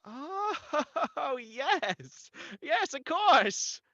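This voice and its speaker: A man's voice: very high-pitched, sing-song, drawn-out words, sharp tone, no echo, heavily acted. A man playing a nervous, guilt-ridden, weak-willed accomplice in a melodramatic 1940s-style radio horror play, on a slightly dull recording. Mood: fearful. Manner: anxious, melodramatic, hesitant.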